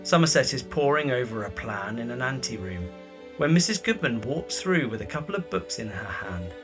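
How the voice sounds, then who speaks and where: Animated male drama narrator over music. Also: medium pitch, moderate pace. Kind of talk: scripted present-tense drama narration.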